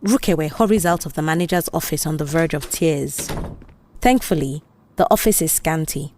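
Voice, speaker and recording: A woman's voice: deep voice, normal speed, a little mellow, slight background noise. A woman with a Nigerian accent narrating a scripted audio drama.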